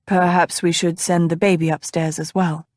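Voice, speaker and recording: A woman reading an audiobook, voicing a grave, gentle grown man in a slow, low, soft delivery. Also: level tone, dry recording, studio quality, close to an everyday voice. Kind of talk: audiobook character voice.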